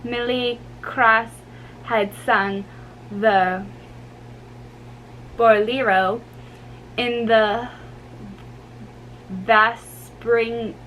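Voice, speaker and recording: A woman giving a dramatic amateur book reading, halting and stumbling over difficult names. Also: a little high, steady tone, fairly bright tone, low-quality recording. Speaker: amateur reader. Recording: faint background noise.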